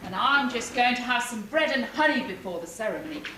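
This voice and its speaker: A prim, easily startled queen, played by a woman speaks theatrically in a high, swooping stage voice. The recording is distant and echoey, with loud noise. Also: animated, fairly quick, lightly acted. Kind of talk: amateur stage pantomime acting.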